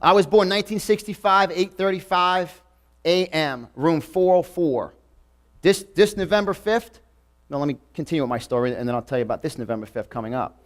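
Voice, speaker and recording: A man in his forties with a New York accent, giving an emphatic, repetitive motivational talk to a live audience. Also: a little high. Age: 40s. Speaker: motivational speaker. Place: event room before a live audience.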